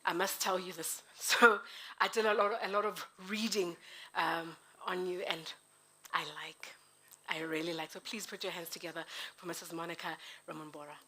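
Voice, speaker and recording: A woman with a husky voice hosting a live event in a chatty, playful, informal way. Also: lowish voice, steady tone, normal speed, pushed and bright, slight background noise. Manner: chatty, playful, with self-interruptions. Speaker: event host introducing a keynote speaker.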